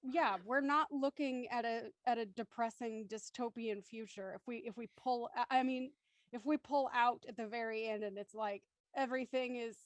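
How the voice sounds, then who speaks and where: A woman's voice: a little high, steady tone, normal speed, dry recording. A woman speaking as a panelist in a discussion, articulate and reflective, setting out considered thoughts.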